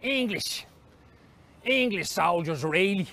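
A man voicing a flustered, slightly cross Irish uncle, an old soldier explaining haltingly in a story reading. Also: high voice, expressive, bright, forceful tone, exaggerated voice.

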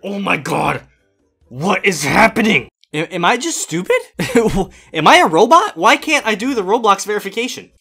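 Young man, gaming commentary, excitable, exasperated, lively voice, faint music behind. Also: high-pitched. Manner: excitable, exasperated, loud outbursts. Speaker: gaming YouTuber. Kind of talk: energetic gameplay commentary.

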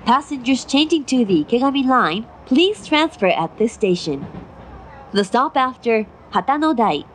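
A woman giving formal, measured railway announcements from a script. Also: on the high side, very animated. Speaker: train announcer. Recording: noisy onboard train recording. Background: train running noise.

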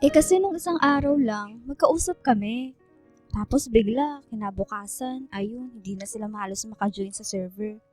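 A woman's voice: on the high side, normal speed, a little mellow, soft background music, close to an everyday voice. A woman playing a hurt young student girlfriend in a Filipino radio drama, plaintive, hesitant and pouty, yet questioning. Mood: worried, pleading.

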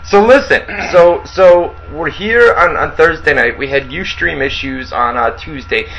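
A man's voice: fairly high pitch, a little slow, crowd noise, low-quality recording. Rambling man in his thirties. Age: 30s. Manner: rambling, repeats words and restarts often. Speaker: podcast co-host. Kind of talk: casual chat.

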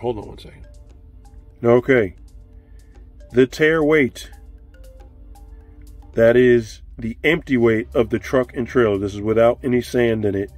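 A man's voice: mid-range voice, a little mellow. A man in his forties, a truck driver vlogging, explaining things step by step to viewers while filming on a phone at arm's length, first outdoors by his truck and then in the cab. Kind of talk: casual explanatory vlog. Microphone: handheld phone at arm's length.

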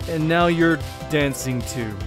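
A woman's voice: deep voice, a little slow, over loud music. A woman playing a goofy, flippant, easily distracted camp counselor in an exaggerated slasher spoof cartoon. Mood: urgent. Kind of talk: exaggerated comedy cartoon acting.

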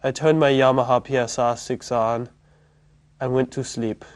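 A young man playing a solemn, self-important keyboard player in a mockumentary, speaking in a stilted deadpan indoors. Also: mid-range voice, normal speed, no echo, close to an everyday voice. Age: young adult. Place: indoor lounge.

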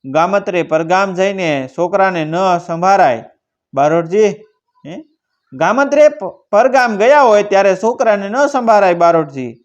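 A man's voice: high-pitched, lively intonation, normal speed, pitched above the performer's own voice. A man voicing a generous, shrewd village lord in a folk tale, hearty and coaxing, with a Kathiawadi Gujarati accent. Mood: coaxing, caring. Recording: dull lo-fi recording.

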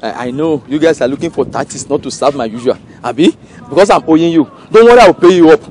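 A man's voice: fairly high pitch, quick pace, slight background noise, natural voice. A man with a Nigerian accent playing a boastful drinker who puts on a showy, slangy swagger in a comedy film. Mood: teasing, pleading. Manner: showy, animated slangy posturing. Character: boastful drinker putting on a returnee-from-abroad swagger, then pleading.

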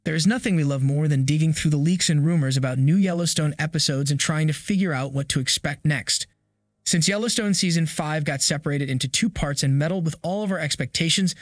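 A man reading a scripted voice-over commentary in an even, measured way. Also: a little high, no echo, clean studio sound. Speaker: channel narrator.

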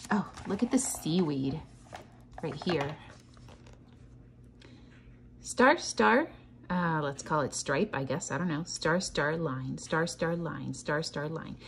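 Low-voiced woman teaching children, noisy recording. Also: slow, vocal fry, long pauses. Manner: encouraging, questioning, chanting patterns aloud. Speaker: teacher reading a picture book to children. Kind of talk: children's read-along lesson. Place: indoors at a table.

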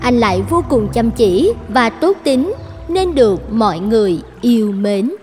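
A woman storyteller reading scripted narration for an animated fairy tale. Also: fairly high pitch, unhurried, husky, in a large hall. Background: light background music.

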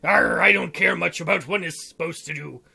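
Man putting on a slow, drawling pirate captain. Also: on the high side, gravelly, bright, forceful tone, breathy edge, pitched below the performer's own voice, exaggerated voice. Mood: unbothered, dismissive. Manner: drawling pirate talk with "arr". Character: gruff, teasing male pirate captain, slow and unbothered. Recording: music under the voice.

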